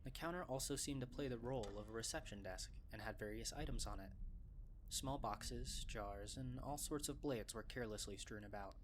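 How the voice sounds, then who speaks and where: A man reading scripted story narration, with a measured, descriptive storytelling delivery. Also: medium pitch, noisy background.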